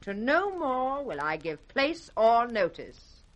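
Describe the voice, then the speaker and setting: An actress playing a scornful, hard-hearted royal daughter, haughty and emphatic, in radio Shakespeare. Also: female voice, on the high side, big pitch swings, takes it slowly, a bit bright, dull audio, heavily acted. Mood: haughty reproach. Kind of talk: 1960s British radio Shakespeare acting. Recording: faintly noisy vintage radio recording.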